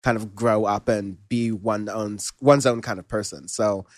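A man hosting a music podcast in casual chat, relaxed and rambling with lots of fillers and restarts. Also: lowish voice, unhurried, no room sound, studio quality. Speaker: podcast host.

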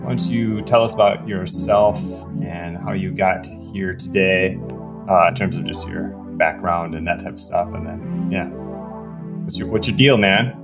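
A man hosting a podcast, chatting in a relaxed way and thinking aloud as he explains. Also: deep voice, a little slow, music in the background. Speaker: podcast host, researcher. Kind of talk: casual podcast chat.

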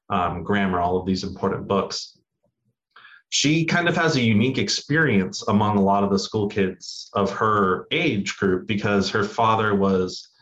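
Young male guest on muffled audio. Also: lowish voice. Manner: earnest storytelling with fillers. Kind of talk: interview answers. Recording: dull lo-fi audio.

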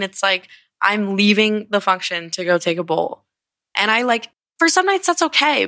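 A congested young woman from Connecticut hosts her own podcast, talking in a loose, rambling stream with plenty of filler words and swearing. Her pitch sits in an ordinary middle range, and the recording is dry and close, with no room sound. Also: a bit bright, no room sound. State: sniffly, congested. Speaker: podcast host. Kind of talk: casual solo podcast talk.

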